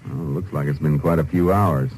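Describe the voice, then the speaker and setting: Calm shrewd lawman, low-pitched, American, terse, 1950s radio drama, faint noise. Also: normal speed, low-quality recording. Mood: shrewd, businesslike. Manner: terse, matter-of-fact. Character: calm, shrewd male Texas Ranger investigator. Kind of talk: 1950s radio police drama acting and narration.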